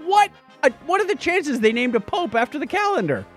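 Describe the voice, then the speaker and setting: A man's voice: extremely high pitch, sing-song, brisk, soft background music. A man co-hosting a podcast in casual, comedic banter, with a joking, playful manner. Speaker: podcast co-host.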